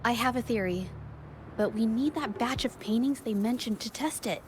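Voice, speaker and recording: An actress voicing a thoughtful young woman investigator in a video game, who reasons aloud in a measured way. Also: a little high, noisy background, natural voice.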